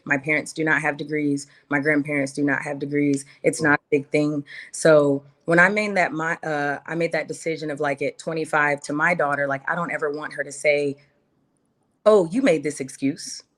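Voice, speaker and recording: A woman in her early thirties with a low voice speaks as a guest on an interview. She tells her own story in a casual, conversational way. She drives points home by repeating phrases and posing rhetorical questions. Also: fairly even intonation, normal speed, a bit bright.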